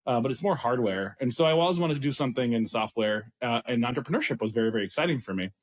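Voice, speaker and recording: A man's voice: mid-range voice, animated, quick pace. A man in his thirties with an Israeli accent, talking casually as a startup founder in an interview, rambling and self-deprecating with frequent restarts. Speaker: startup CEO guest.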